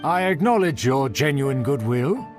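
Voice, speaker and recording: A man's voice: medium pitch, expressive, a little slow, lightly acted. An actor voicing a pompous male aristocrat in a video game, speaking in haughty, flowery formal language. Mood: stately, confiding. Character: pompous male aristocrat of a fallen noble clan. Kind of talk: video game character acting. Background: game music.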